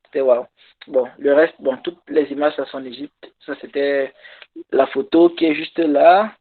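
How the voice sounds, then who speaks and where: A man whose first language is English, giving a workshop talk in halting French over a video call and pausing to search for words. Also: a little high, steady tone. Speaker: conference speaker. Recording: tinny phone-like call audio.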